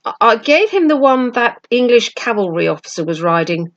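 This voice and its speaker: An excitable storybook schoolboy, acted out by a woman, talks eagerly in a raised pitch. The words tumble and stumble out of the child in stammered bursts. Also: normal speed, highs cut off. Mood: eager, chatty.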